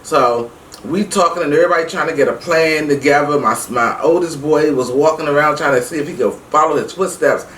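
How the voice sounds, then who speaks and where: Animated woman storyteller in a roomy space. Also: low-pitched, brisk. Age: middle-aged. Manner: animated, emphatic, acts out quoted dialogue. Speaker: vlog host. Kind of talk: casual personal storytelling to viewers. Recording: roomy, distant mic with faint noise.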